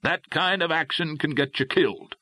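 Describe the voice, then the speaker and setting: A man voicing a stoic, patient gentleman adventurer in an audiobook, calm, measured and understated. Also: fairly high pitch, dry recording, pitched above the performer's own voice. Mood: dry. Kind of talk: audiobook character voice.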